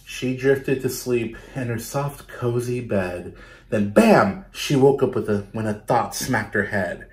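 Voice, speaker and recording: A man in his thirties reading a picture book aloud for children, expressively, pausing to explain and ask questions. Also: mid-range voice, a little mellow. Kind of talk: children's storytime read-aloud with asides and questions to young listeners. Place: small bedroom indoors.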